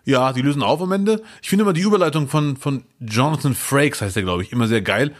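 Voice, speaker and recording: Man on a podcast, mid-pitched voice, playful and self-mocking, chatty, laughs a lot. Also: expressive, fast. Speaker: podcast co-host. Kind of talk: casual chat.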